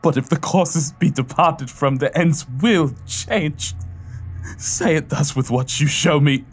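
A man playing a fearful, penitent old miser who pleads gravely and haltingly in an audio drama. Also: fairly high pitch, faint music behind. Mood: pleading.

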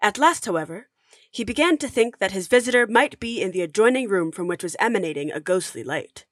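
A woman narrating an audio drama as a storyteller, with measured, expressive scripted delivery. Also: medium pitch, no room sound, studio quality, close to an everyday voice. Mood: storytelling. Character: storyteller narrating a classic Christmas ghost tale.

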